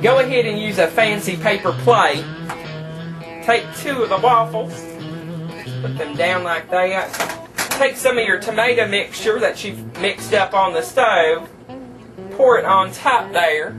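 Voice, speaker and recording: A man's voice: sits high, lively intonation, a little slow. Man acting as a campy thrifty trailer park woman, folksy cooking host, tongue-in-cheek, small kitchen, distant mic, music behind. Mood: folksy, cheerful instructing. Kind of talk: homemade cooking demonstration.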